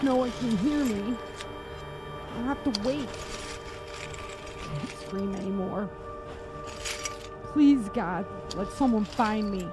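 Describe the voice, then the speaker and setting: A woman playing a trapped, distressed and frustrated woman in an audio drama, speaking in halting exclamations. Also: mid-range voice, lightly acted. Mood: exhausted, pleading. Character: trapped, panicked, frustrated woman pinned under wreckage. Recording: music behind the voice.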